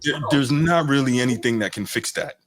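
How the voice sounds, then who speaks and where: A man's voice: medium pitch, a little fast, a crowd in the background. A young man co-hosting a podcast, chatting casually about sports in a laid-back way and leaning on fillers like "man" and "you know". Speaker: podcast co-host.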